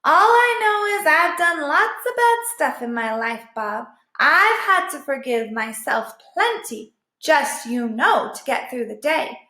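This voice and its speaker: A woman's voice: high voice, sing-song, unhurried, few pauses, sharp tone, full character voice. A woman voicing a weary, wise and easygoing female dog in a storybook, wry and matter-of-fact. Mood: weary, forgiving. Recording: echoey room.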